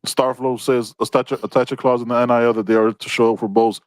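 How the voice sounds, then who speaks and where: A man's voice: medium pitch, level tone, quick pace, no room sound. A man co-hosting a casual sports podcast over a video call, teasing the others and reading out viewer comments. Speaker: podcast co-host.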